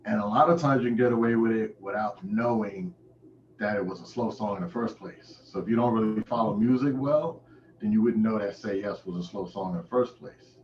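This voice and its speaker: A man's voice: lowish voice, a little fast, recorded from a distance. A man who is a DJ being interviewed, telling stories in an informal, casual way. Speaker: DJ, interview guest. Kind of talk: casual chat. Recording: roomy, dull, noisy call audio.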